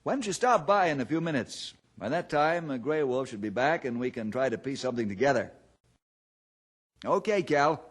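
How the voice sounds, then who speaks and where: An actor playing a steady, thoughtful, fatherly ranger in an old-time radio drama, folksy and reassuring. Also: medium pitch, moderate pace, close to an everyday voice.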